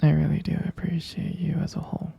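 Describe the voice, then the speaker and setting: A man performing a romantic ASMR roleplay in a breathy, husky whisper, speaking tenderly and adoringly with halting pauses. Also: fairly high pitch, little pitch movement, mellow, lo-fi sound.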